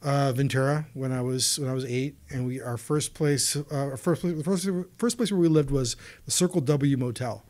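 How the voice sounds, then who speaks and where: A man's voice: medium pitch, darkish tone. A man in his forties, a native Russian speaker who grew up in the Soviet Union, telling stories as a podcast guest with many restarts and trailing asides. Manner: rambling, halting storyteller with many restarts. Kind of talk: casual conversation.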